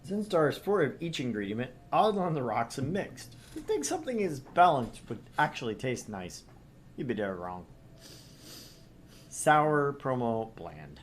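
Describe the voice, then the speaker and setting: Guy on a let's play, pitch jumps around a lot, wry, noisy background. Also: sing-song, measured pace. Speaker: gaming co-host. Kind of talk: casual let's play chat, reading menus aloud.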